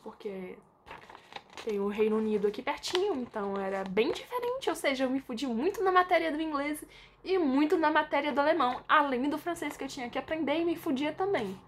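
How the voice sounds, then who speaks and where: A woman's voice: high-pitched, expressive, brisk, a little hiss or hum. Chatty Brazilian woman vlogging casually. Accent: Brazilian Portuguese. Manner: chatty, informal, lots of fillers, reads from a script. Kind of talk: casual chat to camera.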